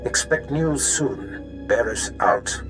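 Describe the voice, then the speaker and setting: An actor playing a calculating, imperious Sith master in a video game, speaking in a measured, formal way. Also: male voice, mid-range voice, over music, natural voice. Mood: pleased, menacing. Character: menacing Sith master, calculating, imperious older male villain. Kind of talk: cinematic game voice acting.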